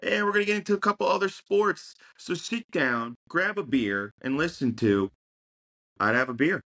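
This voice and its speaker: A man's voice: fairly high pitch, lively intonation, normal speed, bright, forceful tone. A man co-hosting a podcast in casual sports banter, with punchy, slogan-like lines and repeated phrases. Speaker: podcast co-host.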